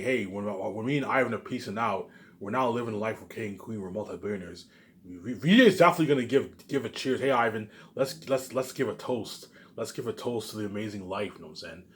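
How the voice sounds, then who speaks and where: A man in a casual, rambling monologue, boastful and repetitive, emphatic and swearing freely as he leans on the same catchphrases. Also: lowish voice. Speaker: online video creator.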